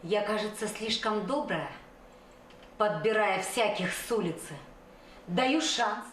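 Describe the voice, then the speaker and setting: Actress doing a cold female boss, measured, echoey and distant. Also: medium pitch, a little hiss or hum, natural voice. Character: stern female boss, cool and controlling.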